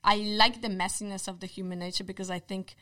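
A woman with a Polish accent speaks in a measured, thoughtful way, often pausing on fillers and restarting her thoughts. Her voice sits at an ordinary middle pitch for a woman. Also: level tone, bright, forceful tone. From Poland. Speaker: guest, social psychologist. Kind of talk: casual conversation.

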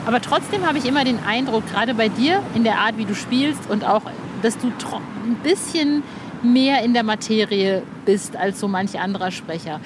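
High-voiced woman hosting a casual podcast. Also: moderate pace, noisy background. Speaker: podcast host, music journalist. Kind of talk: casual chatty interview.

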